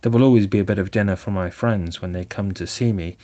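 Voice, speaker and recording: A man's voice: low voice, fairly even intonation, fast, dark tone, muffled recording, lightly acted. A man reading aloud and voicing a conceited, boastful male toad who turns humble and fretful. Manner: humble, then fretful and pleading.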